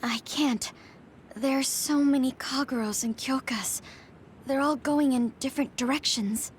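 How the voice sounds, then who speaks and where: An actress playing a soft-spoken, hesitant young psychic scout in an anime-style video game. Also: female voice, high-pitched, measured pace, a little hiss or hum. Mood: apologetic, frustrated.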